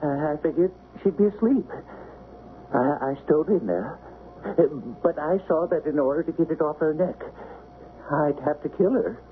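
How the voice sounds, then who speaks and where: An actor playing a nervous, apologetic hotel guest and failed thief, stammering and hesitant, in radio drama style. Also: male voice, fairly high pitch, normal speed, natural voice. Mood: confessing. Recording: muffled, noisy old radio broadcast.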